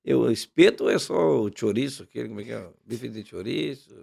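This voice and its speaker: Playful gaúcho host, gravelly voice. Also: male voice, medium pitch, fast talker, darkish tone. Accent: southern Brazilian, Rio Grande do Sul. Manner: playful, teasing, laughs often. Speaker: podcast host. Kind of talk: casual interview chat.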